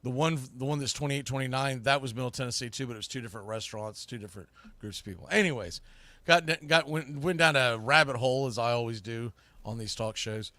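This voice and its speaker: A man's voice: medium pitch, quick pace, sharp tone, no room sound. A middle-aged man hosting a sports talk show, chatting casually and informally in a rambling, mildly salty way. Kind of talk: casual, rambling live podcast chat.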